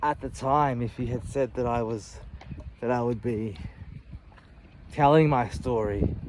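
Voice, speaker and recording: A man in his late thirties talking candidly about his life in a reflective, halting way, often restarting and adding "you know". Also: mid-range voice, background noise. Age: 30s. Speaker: vlogger. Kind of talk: personal reflective monologue.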